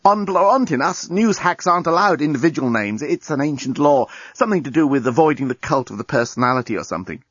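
A man's voice: a little high, lively intonation, fast, few pauses, fairly bright tone, breathy edge, no room sound, highs cut off. A man voicing a flustered, apologetic male alien journalist in an audiobook, earnest and given to stammering. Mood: matter-of-fact. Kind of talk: storybook audiobook character voice.

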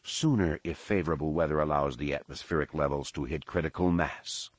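Villain, deep and slow: a man playing a menacing alien warrior, icy calm, dry close sound. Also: slightly rough, no room sound. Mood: coldly menacing. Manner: calm, cold, deliberate. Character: menacing male alien warrior disguised as a soldier.

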